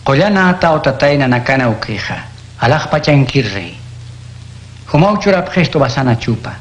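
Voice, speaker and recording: Un hombre interpreta a Jesús enseñando con calma y tono instructivo en un radioteatro cristiano. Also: male voice, medium pitch, moderate pace, close to an everyday voice. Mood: teaching. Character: Jesus, calm adult male teacher. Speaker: voice actor. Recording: echoey room.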